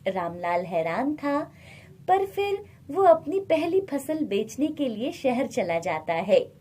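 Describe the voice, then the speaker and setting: Slow, husky woman storyteller. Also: high voice, sing-song, warm tone. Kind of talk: scripted narration of a moral bedtime story.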